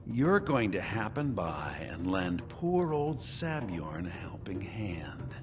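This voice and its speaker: An actor playing a bitter, scheming conspirator in a video game, talking in a sly, confiding way. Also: male voice, sits low, sing-song, slow, heavily acted. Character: bitter, scheming man, conspirator in a mead-poisoning plot. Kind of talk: video game character dialogue. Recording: game music behind the voice. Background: game music.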